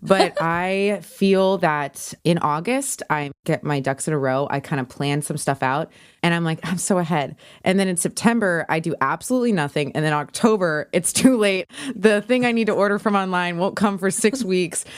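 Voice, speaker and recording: A woman's voice: lowish voice, normal speed, clean tone, no echo, studio quality. A woman podcast guest in casual chat, with a jokey, self-deprecating way of talking.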